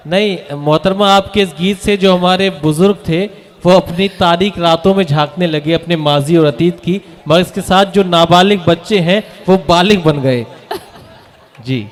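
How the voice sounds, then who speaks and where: A man's voice: sits high, moderate pace. A man hosting a poetry evening, calling on poets in formal phrasing and showering them with praise. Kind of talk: live event hosting.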